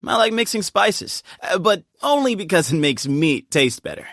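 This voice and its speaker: A cheerful, chummy young adventurer. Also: male voice, high voice, sing-song, creaky, a bit bright, no room sound, exaggerated voice. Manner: playful and chummy, quick to laugh. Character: easygoing cheerful young male desert temple leader. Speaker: game character voice actor. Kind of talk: video game character voice lines. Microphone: studio microphone. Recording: dry studio booth.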